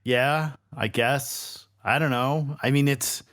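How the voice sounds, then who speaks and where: A man playing a tabletop roleplaying game, improvising in character with a halting, exasperated delivery. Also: medium pitch, steady tone, a bit bright, no room sound, clean studio sound.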